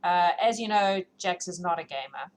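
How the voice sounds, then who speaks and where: A woman's voice: lowish voice, lively intonation, moderate pace. A woman hosting a livestream, chatting casually and informally to her viewers in a rambling, self-deprecating way. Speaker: livestream host who reads books aloud. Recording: clearly noisy livestream audio.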